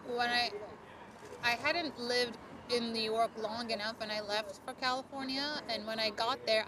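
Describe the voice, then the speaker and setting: A woman from Turkey talks casually with a slightly high voice, leaning on "like" as she goes. She sits outdoors at a street restaurant, and a busy crowd is clearly heard behind her. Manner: chatty, lots of "like" fillers. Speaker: podcast co-host. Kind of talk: casual chat. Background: city street crowd and traffic.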